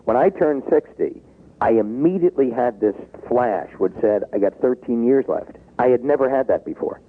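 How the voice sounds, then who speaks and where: A man in his sixties talking in a taped interview, acting out quoted pleas and dropping low when he turns reflective. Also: normal speed. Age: 60s. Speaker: business author guest. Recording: tinny phone-like tape.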